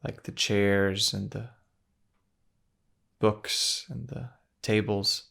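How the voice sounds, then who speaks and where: A man leading a guided meditation in a gentle, measured manner, with long pauses between phrases. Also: fairly low pitch, steady tone, creaky.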